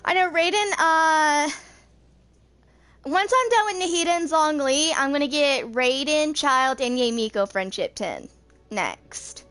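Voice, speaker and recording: A woman's voice: sits high, unhurried, fairly bright tone, no room sound. A woman in her twenties chatting casually while gaming, with a rambling, informal way of talking. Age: 20s. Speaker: video game streamer.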